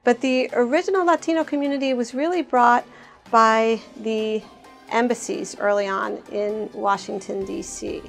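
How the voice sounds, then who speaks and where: A woman's voice: on the high side, steady tone, moderate pace, gravelly, soft background music. A woman being interviewed, answering hesitantly with many fillers.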